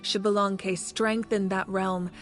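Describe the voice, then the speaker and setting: A woman's voice: mid-range voice, level tone, slow, a bit bright, soft background music. A woman voicing a resolute, principled leader defending her people in a video game, speaking firmly, earnestly and articulately. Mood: explanatory, composed. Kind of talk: scripted video game voice acting.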